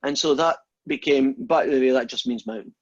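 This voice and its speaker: A man's voice: medium pitch, fairly quick, no echo, muffled recording. A Scottish man, a maths lecturer, wandering off topic into rambling, self-deprecating stories during an informal class. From Scotland. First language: Scots.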